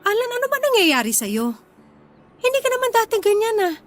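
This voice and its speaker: A woman's voice: extremely high pitch, very animated, fairly quick, faint music behind. A woman playing a modest, practical young Filipina wife and mother in a radio drama, hesitant and questioning. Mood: concerned, pleading.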